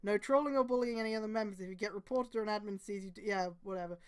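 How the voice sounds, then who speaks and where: A young woman reading a web page aloud in casual chat, sarcastic and swearing, with sudden loud outbursts. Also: medium pitch, very fast, clean tone. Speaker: host.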